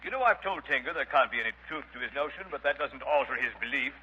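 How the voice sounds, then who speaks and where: Colonial British major, stuffy and curt, old radio serial with dull, faintly hissy sound. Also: male voice, mid-range voice, expressive, fast talker, bright, forceful tone. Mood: brisk, dismissive. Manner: pompous, brusque, clipped. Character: pompous, blustering British colonial major running a mine. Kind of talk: 1930s radio drama acting. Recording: muffled, hissy 1930s radio broadcast.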